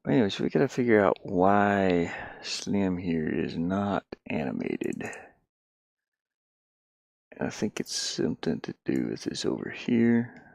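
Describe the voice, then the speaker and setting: A man thinking out loud in casual livestream talk, muttering to himself as he works on a game. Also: sits low, big pitch swings, slow pace, gravelly, no room sound, studio quality. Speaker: indie game developer streaming.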